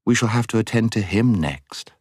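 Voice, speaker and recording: A man's voice: lowish voice, lively intonation, normal speed, a little mellow, slightly rough, no echo, studio quality. A man voicing a prickly, outspoken British schoolgirl in a storybook, with a touchy, blunt manner. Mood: candid.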